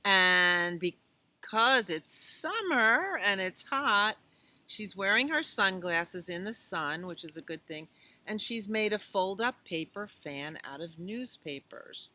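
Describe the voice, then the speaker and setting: A woman's voice: mid-range voice, animated, takes it slowly, pushed and bright. A woman reading a picture book aloud to children, with chatty asides, exclamations and questions to her listeners. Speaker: children's storytime reader at a library.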